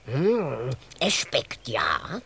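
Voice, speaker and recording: A woman's voice: rumbling low, huge pitch swings, extremely slow, fairly bright tone, a little hiss or hum, full character voice. A woman playing a tiny, childlike, easily upset grandmother who garbles words like a toddler in a children's radio play. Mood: pleased.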